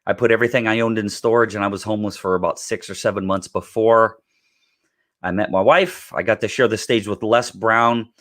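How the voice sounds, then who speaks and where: A middle-aged man with a raspy voice from recent illness, giving an emphatic live training on real estate networking and often clearing his throat. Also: lowish voice, fairly even intonation, fairly quick, a bit bright.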